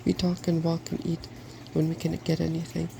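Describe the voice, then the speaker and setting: A man voicing a cheerful, wise, weary dragon in a soft-spoken storybook reading, polite and measured. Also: on the high side, completely flat delivery, creaky, background noise.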